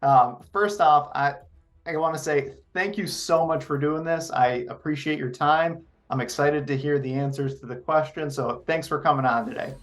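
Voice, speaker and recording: A man's voice: fairly high pitch, moderate pace, a bit bright. A man hosting an interview, asking questions in a friendly, hesitant way with lots of fillers. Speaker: interviewer, channel host.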